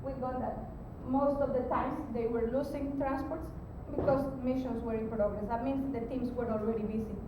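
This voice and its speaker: A woman giving an explanatory technical presentation as a conference speaker, pausing hesitantly mid-sentence. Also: steady tone, muffled recording. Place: conference room, recorded from a distance. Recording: very echoey, distant mic, rumbling background noise.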